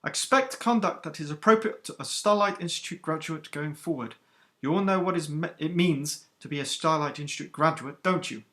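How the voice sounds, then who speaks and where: A man's voice: on the high side, brisk, pushed and bright, pitched above the performer's own voice. A man voicing a stern, commanding woman starship captain with a clipped alien accent. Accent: stern alien Celestian accent. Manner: clipped and authoritative.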